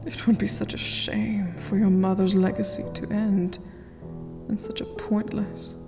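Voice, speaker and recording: A woman's voice: medium pitch, level tone, lightly acted. A woman playing a cold, stern vampire queen in a fantasy ASMR roleplay, regal and commanding. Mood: scornful, threatening. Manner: slow, commanding, breathy whispered delivery. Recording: long hall reverb, music underneath.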